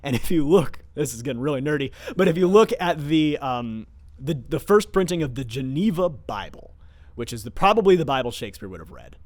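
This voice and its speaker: A man's voice: mid-range voice, sing-song, brisk. A man speaking as a theatre director in an informal, scholarly talk, enthusiastic but often searching for words as he explains. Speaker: theatre director discussing his production.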